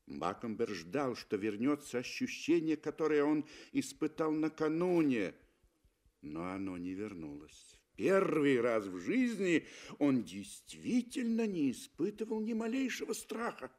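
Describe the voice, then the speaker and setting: A man reading literary narration for a 1960s radio play, with expressive, emphatic phrasing. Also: a little high, a little slow. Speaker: audio drama narrator. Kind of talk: dramatic literary narration, 1960 Soviet radio. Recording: 1960 Soviet radio recording.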